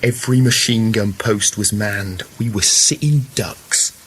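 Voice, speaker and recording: An actor playing a fallen young First World War soldier in a radio play, haunted and wryly joking, with a plain-spoken, reflective way of talking. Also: male voice, lowish voice, measured pace, slightly rough. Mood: shaken, wistful. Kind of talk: naturalistic radio play acting.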